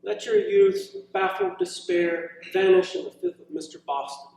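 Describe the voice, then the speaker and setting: A man giving a live poetry reading, moving between measured verse and informal, hesitant asides, recorded in an echoing room. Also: high-pitched, wildly swooping pitch, a little mellow. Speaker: poet reading own work. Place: indoor college reading event, echoing room. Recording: very echoey room, distant mic.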